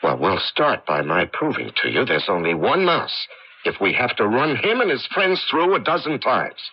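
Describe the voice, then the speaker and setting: An actor playing an anxious, earnest amateur inventor in a radio drama, talking with hesitant but emphatic delivery. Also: male voice, medium pitch, very animated, normal speed. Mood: hurt, insistent. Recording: dull, noisy old radio broadcast.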